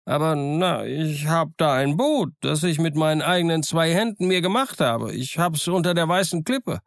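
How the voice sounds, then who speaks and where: A man's voice: on the high side, animated, normal speed, vocal fry, no echo, studio quality, voice pushed up. A man voicing an eccentric old marooned sailor who rambles on insistently. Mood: chattering. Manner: rambling, insistent, winking confidentially.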